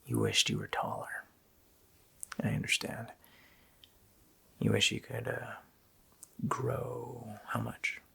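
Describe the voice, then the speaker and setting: A man voicing a sadistic, joking Christmas demon in a gravelly, whispered ASMR roleplay, with a slow, mockingly playful menace. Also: medium pitch, big pitch swings, pushed and bright, exaggerated voice. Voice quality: gravelly whisper with creaky growl.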